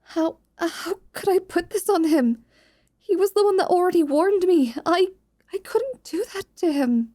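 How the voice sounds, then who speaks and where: A woman's voice: extremely high pitch, expressive, a little slow, mellow, voice pushed up. A woman playing an anxious, deferential young magic student, earnest and hesitant, in a fantasy audio roleplay. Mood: distressed, guilty.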